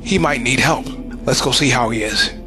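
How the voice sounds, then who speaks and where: An actor playing a tough army sergeant, blunt and streetwise with casual slang. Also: male voice, medium pitch, moderate pace, natural voice. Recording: audio drama with music underneath.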